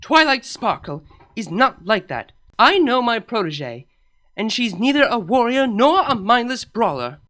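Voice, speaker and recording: A woman's voice: fairly high pitch, sing-song, a little slow, a bit bright, voice pushed up. A woman reading a fan story aloud, voicing a stern, proud royal pony princess who talks in an insistent, lecturing way. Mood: indignant.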